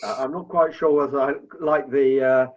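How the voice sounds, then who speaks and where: Dull lo-fi audio, man, mid pitch, podcast co-host, rambling, opinionated. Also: fairly even intonation, normal speed. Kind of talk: conversational podcast discussion. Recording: dull, lo-fi connection.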